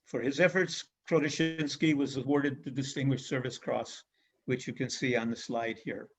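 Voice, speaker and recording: A man giving an illustrated history lecture as a guest speaker, speaking in a measured way with frequent pauses and fillers. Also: mid-range voice, fairly even intonation. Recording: dull lo-fi recording.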